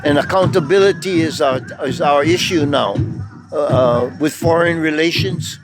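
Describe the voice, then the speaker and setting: Older male, somewhat higher voice. Earnest, rambling activist speech with halting pauses, music playing underneath. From Hawaii.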